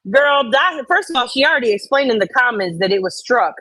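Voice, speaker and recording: A woman's voice: fairly high pitch, expressive, a little fast, sharp tone, no room sound. A woman co-host giving casual commentary, reading comments out dramatically and reacting bluntly, with exasperation and free swearing.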